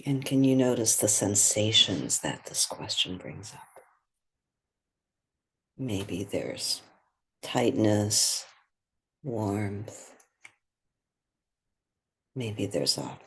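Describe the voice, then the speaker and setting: A meditation guide with a soft, breathy voice, speaking gently and without hurry, leaving long pauses. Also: mid-range voice, animated, rough recording.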